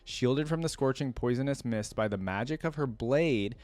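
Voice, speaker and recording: A man's voice: mid-range voice, moderate pace, darkish tone, no echo. A man hosting a reaction channel, moving between casual commentary and reading aloud.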